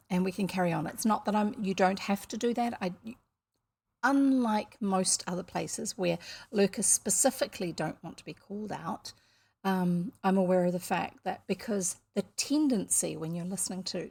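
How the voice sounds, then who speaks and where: Chatty New Zealand woman, gravelly edge. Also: medium pitch, darkish tone. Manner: rambling and chatty, many fillers. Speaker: storytelling streamer. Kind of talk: casual chat to viewers.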